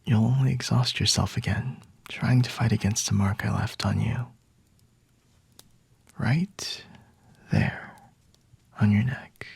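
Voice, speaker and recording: A man performs a flirty, condescending male vampire holding a captive, in intimate ASMR style. The voice is very breathy and gravelly, slipping often into whispers. He speaks in refined, teasing phrases with slight room echo around him. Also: fairly low pitch, steady tone, takes it slowly, pauses often, heavily acted. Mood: smug, seductive.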